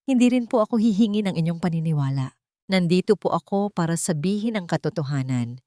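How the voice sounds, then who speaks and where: A narrator woman plays a wronged young heroine in an audio romance drama. She sounds earnest and vulnerable yet steadfast, in a mellow, very dry voice. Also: on the low side, expressive, fairly quick, no echo, close to an everyday voice. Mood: resolute.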